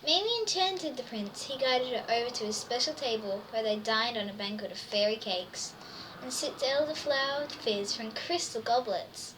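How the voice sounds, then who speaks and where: A woman's voice: a little high, moderate pace. A woman reading a children's storybook aloud expressively, full of wonder and exclamation, addressing young listeners. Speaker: storybook reader. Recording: distant mic, faint background noise.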